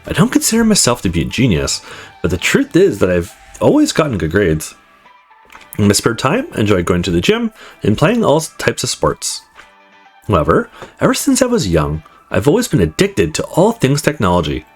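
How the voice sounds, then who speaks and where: Man reading as breezy, animated young male student. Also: medium pitch, moderate pace, breathy edge. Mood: upbeat, reflective. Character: confident, upbeat male student narrating his life story. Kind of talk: visual novel narration read aloud. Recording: music playing behind the voice.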